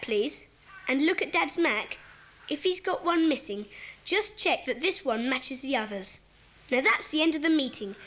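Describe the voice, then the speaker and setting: A woman playing a bossy, earnest schoolchild who leads a secret club in a children's audio drama, speaking briskly and commandingly. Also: sits high, fairly bright tone. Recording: faint background noise, cassette audio drama.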